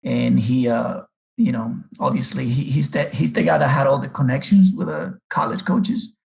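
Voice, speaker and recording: A man's voice: mid-range voice, normal speed, vocal fry, a little mellow, low-quality recording. A middle-aged man from the Republic, a baseball coach telling his life story unhurriedly in an interview, with many fillers. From Dominican Republic.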